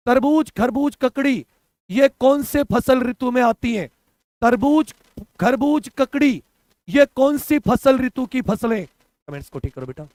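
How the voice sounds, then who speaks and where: A hoarse young man teaching a live online exam-prep class, repeating answers and quizzing his students. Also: extremely high pitch, darkish tone, no room sound. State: hoarse from a cough.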